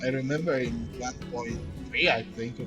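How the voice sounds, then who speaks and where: A man's voice: medium pitch, moderate pace. A man doing casual let's play commentary as a video game streamer, reading some dialogue aloud. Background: game music.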